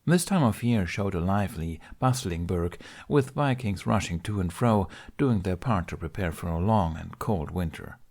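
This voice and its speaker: A man's voice: sits low, animated, moderate pace, few pauses, a little mellow. A man reading scripted story narration as an audiobook narrator.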